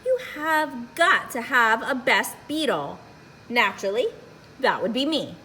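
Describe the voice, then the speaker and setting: Woman acting self-important beetle, pompous, emphatic, raised pitch, very animated, picture-book reading. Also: measured pace, a little hiss or hum. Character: self-important, insistent best-man beetle.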